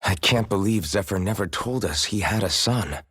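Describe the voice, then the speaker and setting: A man's voice: lowish voice, expressive, moderate pace, fairly bright tone, breathy edge, no room sound, studio quality. An actor playing an earnest, protective young rebel swordsman in a video game drama. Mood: sober, determined.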